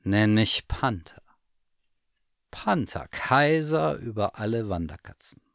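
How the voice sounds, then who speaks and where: A proud stray cat that lectures in clipped, haughty tones in a storybook, as a man puts her on. The voice has a gravelly grain and a dry, close sound. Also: fairly low pitch, sing-song, slow pace, a bit bright, dry recording, full character voice. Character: proud, aloof wandering cat, know-it-all.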